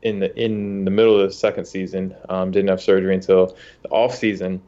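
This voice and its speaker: A man's voice: low voice, flat delivery, fairly quick, dark tone, lo-fi sound. A young man from the United States, a soccer goalkeeper, telling his story in a casual interview with many fillers and restarts.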